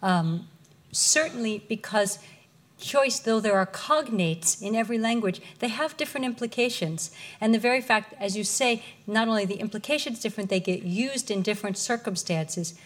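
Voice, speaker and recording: A woman lecturer answering audience questions in an articulate, measured way. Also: a little high.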